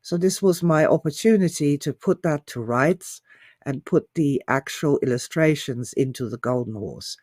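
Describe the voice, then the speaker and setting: A woman's voice: sits low, moderate pace, a little mellow, no room sound, clean studio sound. A middle-aged woman giving an informal, enthusiastic talk as an author and teacher, gushing and repeating phrases for emphasis.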